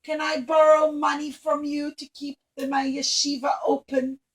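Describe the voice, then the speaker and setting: A woman's voice: high voice, flat delivery, moderate pace. A woman voicing a humble old male rabbi who speaks earnestly and pleadingly.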